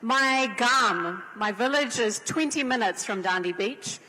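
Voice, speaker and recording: A woman with a New Zealand accent giving an earnest, measured speech as a panel speaker. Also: on the high side, pushed and bright. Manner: measured, earnest, drawn-out delivery. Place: large conference hall. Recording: long hall reverb.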